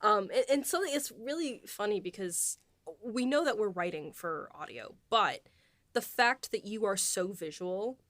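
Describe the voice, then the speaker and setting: A woman's voice: medium pitch, lively intonation, normal speed, fairly bright tone, no room sound. Enthusiastic woman in a dry close recording. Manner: enthusiastic, trailing restarts. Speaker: podcast co-host and producer. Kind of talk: casual chat.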